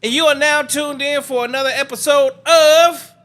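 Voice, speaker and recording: A man's voice: very high voice, steady tone, moderate pace, a bit bright. A man hosting a casual talk show, hyped and teasing as he chats with his guest. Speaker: podcast host. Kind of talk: casual chat.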